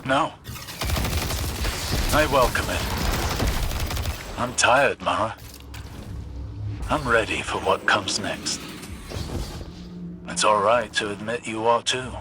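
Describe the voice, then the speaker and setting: A man's voice: on the low side, slow, pauses often, over music. A man playing a weary, resolute old warlock sage in a video game, with grave, formal delivery.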